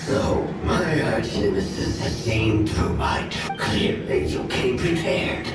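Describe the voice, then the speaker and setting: A man voicing a sly, ancient nightmare monster in a video game, theatrical and menacing, with breathy hissing and a monstrous reverb. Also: fairly low pitch, big pitch swings, slow, full character voice. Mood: gloating. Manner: theatrical, menacing, grandly formal. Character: sly, gloating ancient male nightmare monster, last of its kind. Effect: echoing monster reverb. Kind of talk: video game villain acting. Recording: very echoey, distant, over music.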